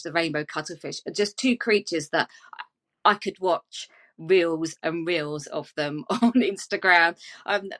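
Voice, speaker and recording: A woman's voice: lowish voice, a bit bright. A woman artist speaking as a guest on an online panel over a video call, gushing with enthusiasm.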